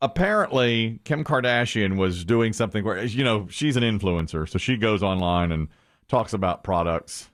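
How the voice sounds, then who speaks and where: Animated man telling a radio story. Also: on the low side, normal speed, no echo, clean studio sound. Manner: animated storyteller, mimics voices. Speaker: radio morning show host. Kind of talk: casual radio banter.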